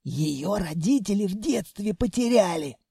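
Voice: mid-range voice, animated, moderate pace, dark tone, dry recording, studio quality, pitched above the performer's own voice, close to an everyday voice. Чтец озвучивает молодую продавщицу-блондинку из аудиокниги, заносчивую, мелочную сплетницу с манерной речью. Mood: confiding. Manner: affected, huffy, confiding. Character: snooty young blonde saleswoman, gossipy, petty, xenophobic.

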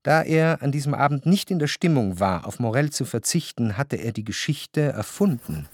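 An actor as the observant, quietly ironic first-person narrator of a literary radio drama, reading long scripted sentences. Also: male voice, medium pitch, sing-song, normal speed. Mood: reflective.

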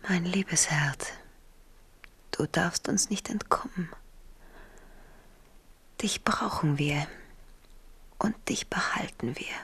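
Radio drama: proud young queen, tender yet calculating, low breathy whispery voice, intimate and intense. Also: takes it slowly, pauses often, a bit bright, exaggerated voice. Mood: tender, yearning. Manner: intimate, formal, emotionally intense. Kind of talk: 1970s German radio play acting.

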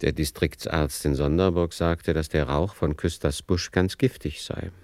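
Low-voiced male radio play narrator. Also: fairly even intonation, normal speed, darkish tone, no echo, clean studio sound. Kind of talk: scripted literary storytelling narration.

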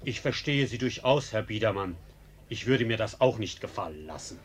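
An actor playing a falsely polite, mock-reasonable arsonist in a German radio play, with an ingratiating manner. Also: male voice, on the low side, lively intonation, normal speed, close to an everyday voice. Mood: falsely apologetic.

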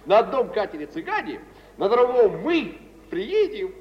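An actor playing a genial, wealthy merchant who loves revelry, with an unhurried, hearty drawl in a mid-century radio drama. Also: male voice, sits high, big pitch swings. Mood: expansive, relishing. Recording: muffled, noisy, echoey old radio recording.

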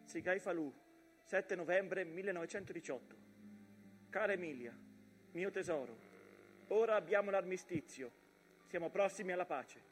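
High-voiced, tender soldier writing home. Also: male voice. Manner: affectionate. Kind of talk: dramatised letter reading.

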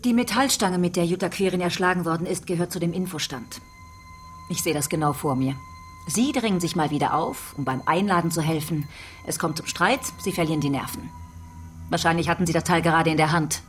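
Woman doing a lady cop in a radio play, lowish voice, pushy and taunting, grilling a suspect. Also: fairly quick, faint music behind, close to an everyday voice. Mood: coolly accusing. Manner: probing, taunting, insistent. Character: relentless female police detective, needling a suspect. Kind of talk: radio drama interrogation.

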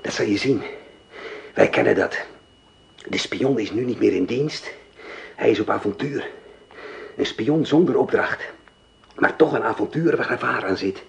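A man's voice: lowish voice, measured pace, gravelly, pauses often. An actor playing a menacing, scornful man plotting against a spy in a radio play. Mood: scheming. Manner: cold, scornful, mocking.

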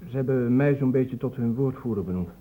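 A man's voice: mid-range voice, fairly quick, close to an everyday voice. Man playing indignant young spokesman, tinny old recording. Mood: hesitant, sombre. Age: young adult. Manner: challenging, insistent, questioning. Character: indignant young man of twenty-one, spokesman for his friends. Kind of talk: Dutch radio drama acting. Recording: muffled, narrow-band old radio recording, noisy.

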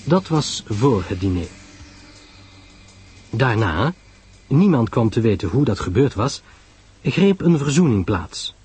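A man's voice: mid-range voice, big pitch swings, slow, pauses often, darkish tone, husky, soft background music. A man narrating a dramatised story from a script, as the storyteller between dialogue scenes.

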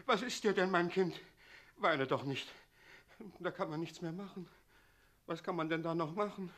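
A man's voice: a little high, normal speed, fairly bright tone, lightly acted. An actor playing a resigned, soothing father in a radio play, speaking in a plaintive, helpless way. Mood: helpless, consoling.

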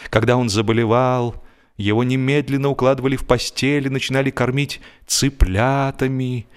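A man narrating comic literature as an audiobook performer, in an expressive, mock-serious way, drawing out the ends of his phrases. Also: mid-range voice, clear, resonant tone. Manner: expressive, wry mock-serious delivery with drawn-out phrase endings.

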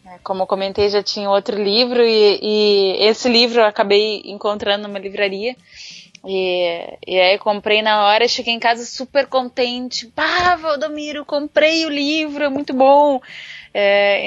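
A woman chatting cheerfully as a podcast guest, quick and laughing. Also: on the high side, no room sound. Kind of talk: casual podcast chat. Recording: muffled lo-fi audio.

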